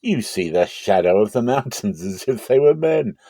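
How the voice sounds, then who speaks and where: A man playing a scornful ancient city official, taunting in drawn-out, emphatic words in a dramatic scripture reading. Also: lowish voice, lively intonation, warm tone, no echo.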